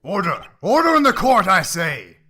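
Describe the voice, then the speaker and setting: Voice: medium pitch, sing-song, moderate pace, slight background noise. An actor voicing a stern, dignified male judge in an amateur courtroom game dub, speaking with formal authority. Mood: commanding. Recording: loud music behind the voice.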